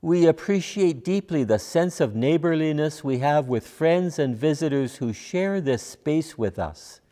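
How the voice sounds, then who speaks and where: An older man, a minister, leads a pastoral prayer from a church pulpit in measured, solemn phrases. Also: a little high, expressive, mellow. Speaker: minister leading worship.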